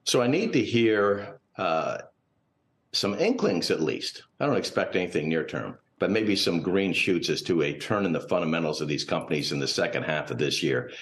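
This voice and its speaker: A man speaking as a guest financial analyst, giving unscripted, cautious and analytical commentary in a TV interview. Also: low-pitched. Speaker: portfolio manager and finance professor, guest analyst.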